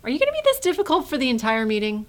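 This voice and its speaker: A woman playing an eager, slightly impatient teacher in a comic radio play, persuasive and lively. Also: high-pitched, rapid-fire, heavy background noise. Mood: reassuring, impatient.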